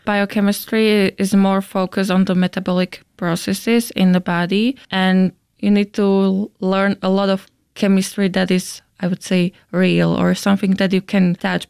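A woman's voice: mid-range voice, flat delivery, unhurried, creaky, hardly any pauses, a little mellow, smooth, no room sound, clean studio sound. A woman in her twenties from Slovakia with a Slovak accent, explaining things as a student interviewee. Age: 20s.

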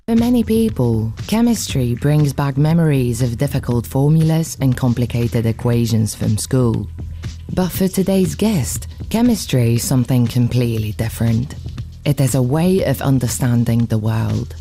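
A woman reporter reading a scripted radio feature in a measured, storytelling way. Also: a bass voice, lively intonation, dark tone, soft background music.

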